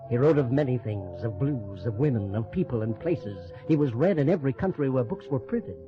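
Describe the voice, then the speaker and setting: An actor playing a solemn narrator in an old-time radio drama, telling the story in measured, lyrical phrases. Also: male voice, medium pitch, expressive, lightly acted. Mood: solemn storytelling. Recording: dull old radio recording under music.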